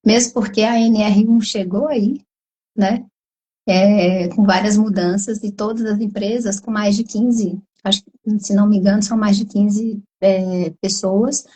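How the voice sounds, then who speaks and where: A woman psychologist with a Brazilian Portuguese accent, hoarse from a cold, explaining at length in a live online conversation and tagging her sentences with questions. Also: mid-range voice, steady tone, measured pace, mellow, low-quality recording. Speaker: psychologist guest.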